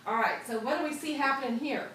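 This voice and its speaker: A woman reading a picture book expressively to young children, pausing to ask them questions and echo their answers. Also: high-pitched, a bit bright. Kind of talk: expressive storybook read-aloud with questions to children. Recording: echoey room, distant mic, noisy background.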